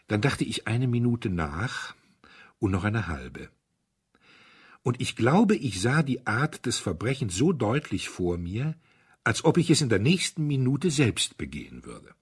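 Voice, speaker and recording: Man voicing a husky, musing priest detective. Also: medium pitch, very animated. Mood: intrigued, musing. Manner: deliberate, musing, rhetorical. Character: gentle, thoughtful male priest and amateur detective explaining a crime. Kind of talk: dramatized storybook monologue.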